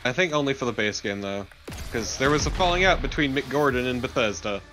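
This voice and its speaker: A man's voice: mid-range voice, expressive, fairly quick, pushed and bright. Opinionated male co-host over noisy game sound. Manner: opinionated, conversational. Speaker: let's play co-host. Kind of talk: casual gameplay commentary.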